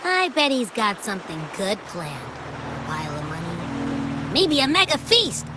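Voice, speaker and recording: A woman's voice: medium pitch, wildly swooping pitch, measured pace, background noise. An actress voicing a cheeky, easygoing young female sidekick in a fantasy video game, with a playful, casual manner. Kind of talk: animated video game voice acting.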